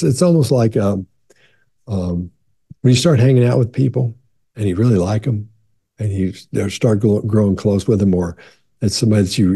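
A man in his sixties with a gravelly voice, chatting casually to camera from his home office while answering viewer questions. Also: fairly low pitch, dark tone. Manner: conversational, repeats and restarts, leans into reassurance. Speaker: body language expert.

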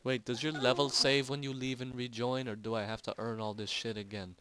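A young man casually commentating on a shooter game, joking and getting loud when excited. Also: medium pitch, brisk, a little hiss or hum. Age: young adult. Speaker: gaming channel host. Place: home, recorded late at night.

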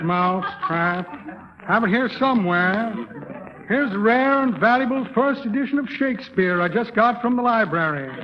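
High-voiced pompous radio swindler. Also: male voice. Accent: American. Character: pompous, shifty male con man, flowery and breezy. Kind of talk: 1930s radio comedy acting. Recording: lo-fi 1930s radio with audience noise.

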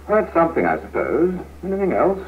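An actor playing an impatient, self-righteous middle-aged office manager, British, curt and sarcastic, in a 1970s training film. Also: male voice, fairly high pitch, wildly swooping pitch, brisk. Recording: tinny, noisy 1970s film soundtrack.

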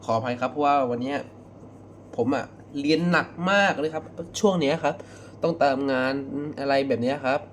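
A young man talking casually in a reaction vlog, excitable and full of exclamations. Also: a little high, fairly quick. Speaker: video creator reacting to a horror clip. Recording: faint background noise.

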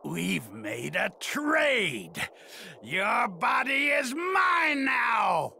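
An actor voicing a flamboyant, boastful alien villain captain in an anime game, with theatrical, gloating delivery. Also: male voice, extremely high pitch, huge pitch swings, drawn-out words, vocal fry, pushed and bright, raised voice, a little hiss or hum, full character voice. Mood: triumphant, gloating. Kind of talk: anime game dub acting.

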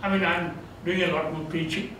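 A man's voice: high-pitched, fairly even intonation, moderate pace. Older Tamil-accented man lecturing in echoey room. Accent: Tamil-accented English. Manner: reflective, chuckling, gently self-deprecating. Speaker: retiring theology professor. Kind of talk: informal farewell lecture with Q&A. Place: seminar room, indoors, medium-sized. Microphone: far camera. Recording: echoey room from far off, background noise.

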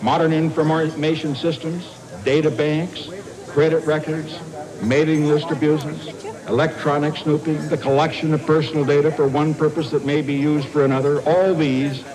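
A man's voice: a little high, little pitch movement, normal speed. A man giving a formal, solemn political speech in an oratorical manner. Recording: reverberant hall with crowd noise.

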